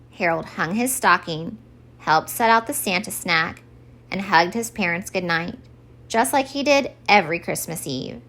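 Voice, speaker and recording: A woman narrates a children's storybook for story time. Her voice is bright and her intonation is very animated, shaping each phrase expressively. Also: mid-range voice, gravelly.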